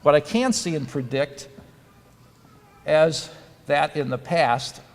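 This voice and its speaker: A man's voice: fairly high pitch, expressive, slow. A man as a dental school dean giving an earnest, advice-filled ceremony speech. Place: auditorium. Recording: reverberant hall.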